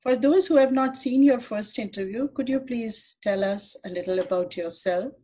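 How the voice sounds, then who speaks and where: A woman's voice: on the high side, normal speed, dark tone. A woman hosting an interview, reading a formal introduction and asking polite questions. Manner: polite, formal, reads from a script. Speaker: interviewer, host.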